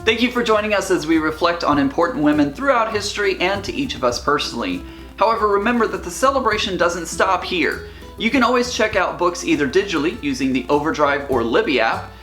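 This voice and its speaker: A woman presenter reading a scripted closing with a lively, promotional manner. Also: fairly low pitch, a little fast. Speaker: library presenter. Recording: echoey room with faint music.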